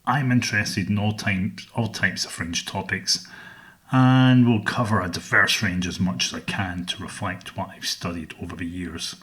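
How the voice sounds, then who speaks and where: A middle-aged Scottish man hosting a solo podcast, speaking in a measured, earnest way about his interests. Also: low-pitched, steady tone, fairly bright tone. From Scotland. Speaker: podcast host.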